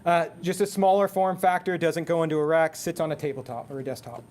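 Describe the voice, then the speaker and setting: A man's voice: a little high, steady tone, quick pace, darkish tone. A man presenting a technical product at a seminar in a conversational, explanatory way. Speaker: product presenter for an intercom manufacturer.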